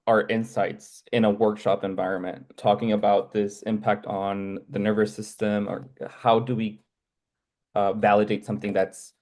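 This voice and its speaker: A man's voice: lowish voice, darkish tone. A man, a webinar participant, asking a question and rephrasing himself as he goes. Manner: slightly hesitant questioner. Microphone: video call.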